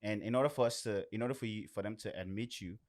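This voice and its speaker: Low-voiced man in casual chat. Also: fast talker, darkish tone. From Suriname or abroad, later moved away. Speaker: podcast guest.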